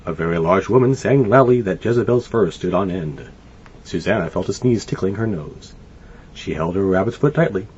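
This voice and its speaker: A man reading a children's story aloud in an animated, expressive way. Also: low-pitched, normal speed, a little hiss or hum. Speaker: storybook reader. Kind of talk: scripted children's story narration. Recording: dull lo-fi sound. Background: light music.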